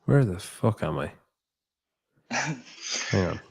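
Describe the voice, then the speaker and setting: Casual low-voiced male podcast host. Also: animated, slow pace, gravelly, husky. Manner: loose, swears casually, thinks aloud. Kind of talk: casual interview chat. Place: new studio with echo.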